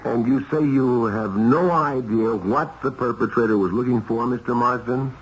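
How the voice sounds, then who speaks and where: A male police lieutenant, played by an actor, questions in a deliberate, probing way at a medium pitch. It is old radio mystery drama, with a dull sound and clear hiss. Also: lightly acted. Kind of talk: 1970s radio mystery acting. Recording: dull, hissy 1970s radio recording.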